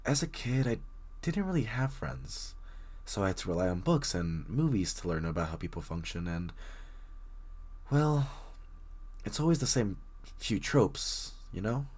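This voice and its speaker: A man's voice: fairly low pitch, sing-song, a little slow, pauses often, darkish tone, husky, full character voice. A man playing a very shy, bookish young stranger in an intimate roleplay audio drama, stammering, rambling and apologising nervously as he addresses the listener. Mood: shy, chatty.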